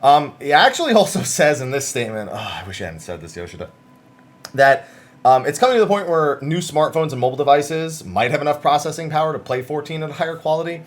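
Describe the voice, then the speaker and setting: A man's voice: a little high, animated, quick pace. A man hosting a gaming news video, talking through written interviews in a chatty, conversational way with casual asides.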